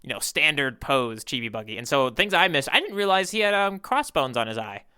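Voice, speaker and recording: A man co-hosting a podcast in casual chat, talking in an excitable, chatty way. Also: mid-range voice, a little fast, smooth. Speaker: podcast co-host.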